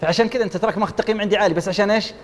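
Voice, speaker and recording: Fast-talking man judging on TV. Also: high-pitched, big-room sound, a little hiss or hum. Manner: enthusiastic, lists points and praises. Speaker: judge on a talent show panel. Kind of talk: evaluating a contestant on live TV. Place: TV studio set. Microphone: lapel mic.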